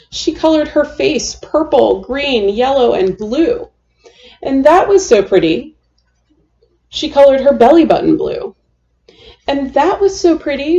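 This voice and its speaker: Mellow, creaky woman reading a picture book. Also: high-pitched, highs cut off. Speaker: storytime host. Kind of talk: children's picture book read-aloud.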